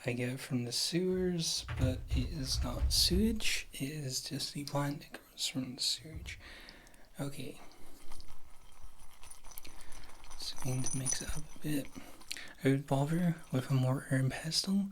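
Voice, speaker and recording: A wry, thrifty witch healer played by a woman murmurs softly in an ASMR fantasy roleplay, often dropping into a whisper. The delivery rambles casually and dryly reassures, over clearly audible background noise. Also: a bass voice, normal speed. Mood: offhand, wry. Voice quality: soft, often slipping into a whisper.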